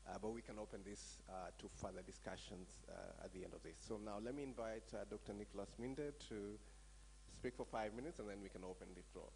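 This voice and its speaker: Male panelist and moderator, somewhat low voice, measured academic delivery full of "uh" and "you know". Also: vocal fry, darkish tone, slight background noise, poor audio. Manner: hesitant, many fillers and restarts.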